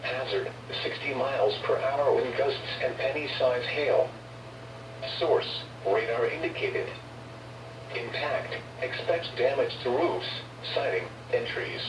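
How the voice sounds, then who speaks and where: A man's voice: mid-range voice, slow. A man with a formal, stilted, synthetic-sounding delivery reads a scripted emergency weather bulletin over a radio broadcast. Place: recorded off a radio receiver. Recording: noisy, echoey, distant weather radio reception.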